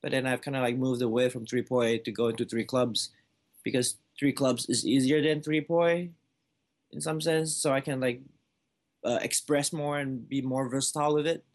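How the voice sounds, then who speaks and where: A man from Malaysia in his thirties, a juggler answering interview questions. He talks in an easygoing, casual way, full of "like" fillers and small restarts, at a middle pitch. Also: steady tone, normal speed. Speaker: juggler, guest.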